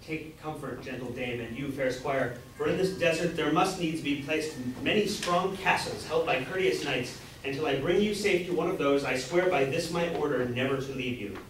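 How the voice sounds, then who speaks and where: A man's voice: fairly high pitch, normal speed, hardly any pauses, natural voice. A man playing a pompous, gallant young apprentice turned knight-errant, declaiming in an amateur staged reading of a Jacobean comedy. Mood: grandly courteous. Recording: distant echoey room, background hiss.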